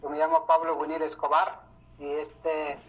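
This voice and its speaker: Man, middle-aged, Mam speaker, husky, halting with many fillers, radio recording. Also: a little high, completely flat delivery. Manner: hesitant, many fillers and restarts. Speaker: author presenting his book. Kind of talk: unscripted talk. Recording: muffled radio broadcast sound.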